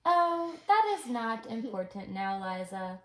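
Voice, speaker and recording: A woman's voice: fairly high pitch, very animated, a little slow, fairly bright tone, echoey room. A woman reading a storybook character's dialogue aloud in a home recording. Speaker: co-reader on a child's home video.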